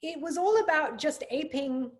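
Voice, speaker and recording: Woman guest on a podcast, thoughtful and well spoken, keeps saying yeah and right, pitch a bit high. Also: expressive, normal speed. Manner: thoughtful, articulate, with frequent agreeing interjections. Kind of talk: reflective conversation.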